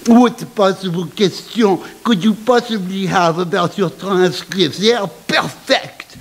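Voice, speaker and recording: A man's voice: high-pitched, measured pace, husky, exaggerated voice. A man voicing an insistent headmistress, indignant and falsely sincere, in a told story.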